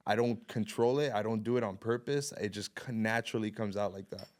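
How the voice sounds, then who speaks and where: A young man from the Miami area telling stories as a podcast guest in a relaxed, casual way, laughing often and mixing in Spanish. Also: on the low side, fairly quick. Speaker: podcast guest, photographer and videographer. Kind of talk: casual chat.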